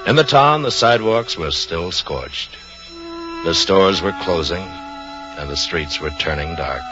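An actor playing the grave, measured narrator of an old radio suspense drama, telling the tale dramatically. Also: male voice, sits low. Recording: dull old radio recording, music behind.

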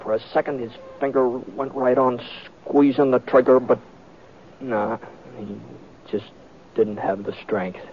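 A man's voice: mid-range voice, fairly even intonation, measured pace, slight background noise, close to an everyday voice. An actor playing a plainspoken, folksy American cowboy lawman who narrates an old radio western. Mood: tense storytelling. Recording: tinny vintage radio with music.

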